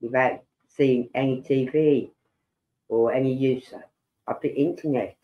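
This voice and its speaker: A middle-aged man, slow and rambling as he hosts a livestream chat with a head cold and sore throat. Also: medium pitch, fairly even intonation. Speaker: livestream host. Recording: dull lo-fi sound.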